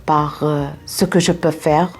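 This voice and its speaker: A woman from Syria answers interview questions slowly, hesitating and searching for her words. Her voice sits slightly low. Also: steady tone, darkish tone, breathy edge. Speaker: college staff member.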